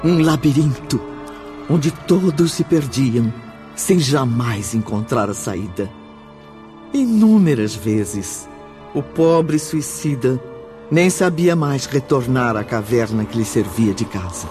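An actor narrating a dark radio drama in a solemn, measured, ominous way. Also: male voice, mid-range voice, sing-song. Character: solemn omniscient male narrator of a dark spiritual tale. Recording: loud dramatic music under the voice.

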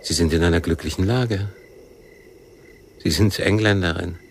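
An actor playing a world-weary, shrewd guerrilla captain who questions coolly and deliberately in a radio crime drama. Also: male voice, low voice, creaky, a little hiss or hum. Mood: weary, cynical. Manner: measured interrogation with abrupt probing questions.

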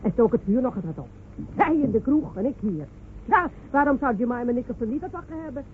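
A woman's voice: fairly high pitch, animated, fast talker, close to an everyday voice. An actress playing a bold, bossy village woman with blustering, earthy speech in a radio play. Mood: bossy, hearty. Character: bold, brash village woman searching for her missing John. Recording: noisy, phone-like old radio recording.

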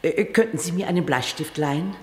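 Radio play part: polite lady of letters played by an actress, lower register, reverberant hall. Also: a little fast, close to an everyday voice. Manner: courteous, formal. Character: polite, formal lady writer calling on someone. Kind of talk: radio drama acting. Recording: echoey hall with long reverb.

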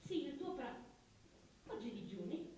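Bossy, fretful female carer, stage absurdism. High register, insistent, distant in a reverberant hall, audience audible. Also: big pitch swings, a little slow. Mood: lecturing. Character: bossy, anxious, obsessively rule-bound woman caring for her partner. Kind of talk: absurdist stage comedy dialogue. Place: theatre stage. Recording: echoey theatre stage from afar, audience noise.

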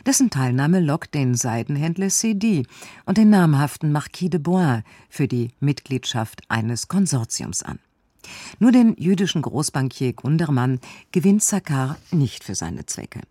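A man's voice: fairly high pitch, animated, a little slow, dark tone, no echo, clean studio sound. A man reading a scripted synopsis as the narrator of a radio drama. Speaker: narrator giving the recap.

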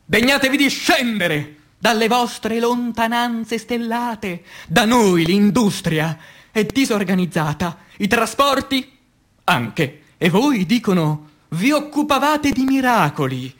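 A man reciting poetry as radio theatre in speech, declamatory, with dramatic pauses, moving between hushed and emphatic delivery. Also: very high-pitched, expressive. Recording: music under the voice. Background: music.

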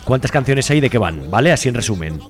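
Man, mid pitch, radio host, chatty, upbeat. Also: very animated, fast, faint music behind. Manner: chatty, upbeat, leading questions. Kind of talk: casual radio interview. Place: radio studio.

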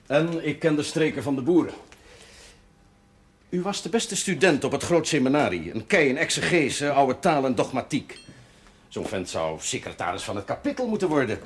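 An actor playing a worldly, teasing country priest with a wordy, self-assured manner in a television drama. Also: male voice, mid-range voice, very animated, normal speed, close to an everyday voice. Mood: wry, teasing. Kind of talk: naturalistic TV drama dialogue.

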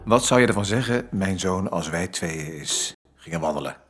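A man's voice: low voice, normal speed, slightly rough, faint music behind, full character voice. An actor playing a pompous, talkative father in a radio drama. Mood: playful. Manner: pompous, lively.

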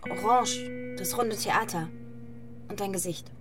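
A woman's voice: medium pitch, slow pace, close to an everyday voice. An actress playing a cool, stubborn young woman, streetwise and blunt, in a German crime radio play. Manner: blunt, offhand. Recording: music under the voice.

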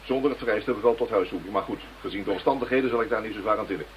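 An actor playing a skeptical senior police commissioner in a radio drama, brisk and dryly sarcastic. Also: male voice, lowish voice, a little hiss or hum, lightly acted. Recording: dull lo-fi radio drama recording.

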